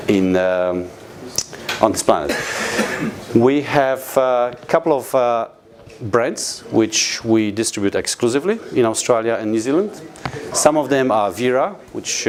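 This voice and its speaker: A man's voice: medium pitch, darkish tone. A man with a Polish accent giving a live product presentation to a training audience, hesitant with many fillers and restarts. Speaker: company presenter at a training session.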